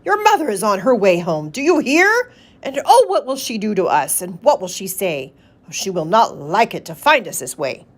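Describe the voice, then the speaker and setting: A woman's voice: mid-range voice, big pitch swings, normal speed. A woman voicing a fussy, worried pet fish who scolds insistently in a children's storybook read-aloud. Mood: urgent, worried.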